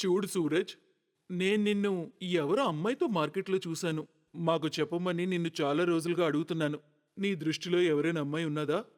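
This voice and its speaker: Man voicing middle-aged father, reverberant, higher-pitched, firm, kindly, authoritative, cartoon drama. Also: fairly quick, lightly acted. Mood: questioning. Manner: direct, probing, authoritative. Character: stern but kind self-made middle-aged father. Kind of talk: cartoon moral story acting.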